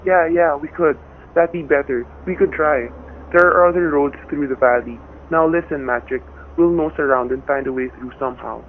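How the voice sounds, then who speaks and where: A man's voice: fairly high pitch, moderate pace, natural voice. Man acting a worried everyman, tinny old radio. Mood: anxious, pleading. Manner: terse, hard-boiled, plain-spoken. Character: worried, cowed husband and father narrating his ordeal. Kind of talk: old-time radio drama narration and acting. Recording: tinny vintage radio recording, background noise.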